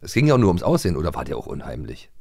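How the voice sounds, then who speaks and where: A man's voice: extremely low pitch, wildly swooping pitch, rapid-fire, gravelly, a loud crowd. A middle-aged man chatting casually and humorously as a podcast co-host.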